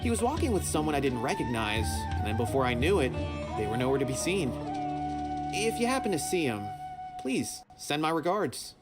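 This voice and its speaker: A man's voice: on the high side, big pitch swings, moderate pace. A man playing a friendly, polite and earnest guard in a video game. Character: friendly, earnest adult male guard on watch. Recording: game music playing loudly behind.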